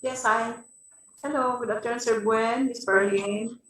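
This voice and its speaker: A woman with a Filipino accent answering a question as a resource speaker, thoughtful and halting. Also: on the high side, steady tone, fairly bright tone. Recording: roomy echo on online call.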